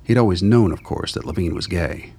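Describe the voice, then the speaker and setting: A man's voice: low-pitched, fairly quick, darkish tone, slightly rough. A man reading scripted dramatic narration for a radio play.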